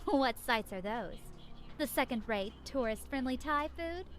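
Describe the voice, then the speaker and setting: A woman's voice: high voice, expressive, unhurried. An actress playing a brash, scornful young city woman in a video game, sardonic and disdainful.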